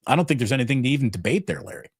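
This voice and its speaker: A mid-pitched man co-hosting a casual sports talk show. He talks emphatically and repeats phrases to drive a point home. The sound is dry and close. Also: animated, racing, gravelly, husky, no room sound.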